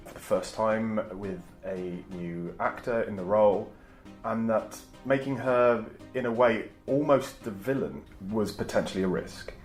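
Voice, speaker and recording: Low-voiced Englishman in a roomy space. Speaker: scriptwriter. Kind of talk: informal interview. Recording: roomy behind-the-scenes interview.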